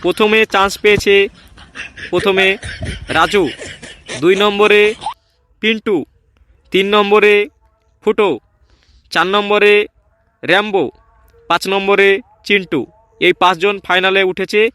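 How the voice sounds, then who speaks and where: A man voicing an officious schoolmaster judge in a comedy cartoon, announcing in a halting, deliberate way. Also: high voice, fairly even intonation, bright, forceful tone, clear, resonant tone, dry recording, full character voice.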